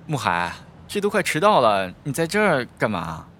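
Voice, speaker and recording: A man voicing an excitable, easily flustered college student in a campus audio drama. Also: medium pitch, moderate pace, a little hiss or hum. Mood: awkward. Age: young adult.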